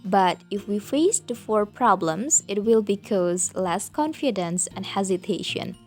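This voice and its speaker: A woman's voice: medium pitch, animated, a little slow, a little mellow, faint music behind. Young Indonesian woman giving a prepared talk. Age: young adult. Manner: careful, rehearsed, learner's English. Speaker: student presenter. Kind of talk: prepared presentation.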